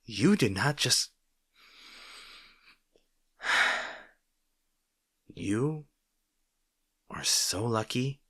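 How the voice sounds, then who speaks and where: A man playing a snarky supervillain rival who secretly cares, in a dramatic roleplay monologue, teasing and sardonic, halting when flustered. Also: fairly low pitch, a bit bright, no echo, studio quality. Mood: flustered, disbelieving. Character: snarky male supervillain rival, secretly worried about his hero.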